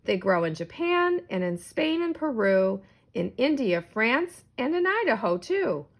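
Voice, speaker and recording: A pompous, scholarly man who runs a school in a children's storybook, acted out by a woman. He pronounces things with self-important care. The pace is slow and the intonation rises and falls freely. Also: a little high, big pitch swings, heavily acted. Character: pompous, learned male school principal.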